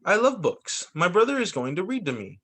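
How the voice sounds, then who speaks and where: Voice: mid-range voice, big pitch swings, a little fast, fairly bright tone, breathy edge. A teacher reading a picture book aloud to children, expressively acting out the characters' lines. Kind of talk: animated children's story reading. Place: remote video story time for distance learning.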